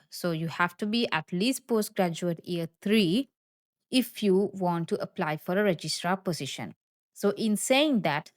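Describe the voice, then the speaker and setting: A woman from Sri Lanka, a doctor, patiently explains job terms in an informal video, repeating key points and speaking directly to viewers. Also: fairly low pitch. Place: Queensland, Australia.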